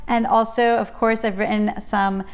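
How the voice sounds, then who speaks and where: A woman's voice: fairly high pitch, level tone, moderate pace. A young woman in casual talk to camera, chatty and enthusiastic, often breaking off to interrupt herself. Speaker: YouTuber sharing her channel story. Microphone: camera at desk, reading notes off a computer.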